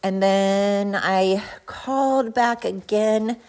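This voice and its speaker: A woman's voice: mid-range voice, very slow, darkish tone. A woman hosting a solo podcast in a chatty, rambling, self-deprecating and slangy way, talking into her phone. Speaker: podcast host. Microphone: talking into a phone while pacing.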